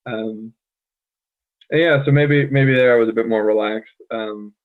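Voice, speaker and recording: A man from the United States co-hosting a casual chat, thoughtful and pausing often with "um". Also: medium pitch. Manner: thoughtful, many "um" fillers. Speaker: podcast co-host. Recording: tinny phone-like line.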